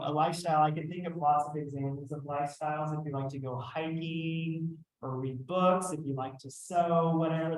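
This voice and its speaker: A man's voice: fairly high pitch, reverberant, rough recording. A man from Texas with a light Texan drawl, teaching a workshop in a conversational way, with some fillers and restarts. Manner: conversational, with fillers and self-corrections. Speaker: trainer. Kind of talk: workshop lecture.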